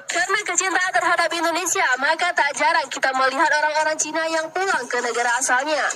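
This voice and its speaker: A woman with a high voice reads a scripted narration in a steady, explanatory way. Music plays clearly underneath her the whole time. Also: quick pace. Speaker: narrator of a reaction video.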